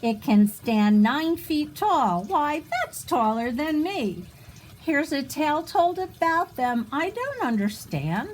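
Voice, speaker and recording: A woman with a high voice reads a rhyming children's book aloud. Her pitch swings widely in a sing-song delivery, and she takes her time, adding small asides for her young listeners. A light ambient noise sits behind her. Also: sing-song, takes it slowly. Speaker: children's storybook reader.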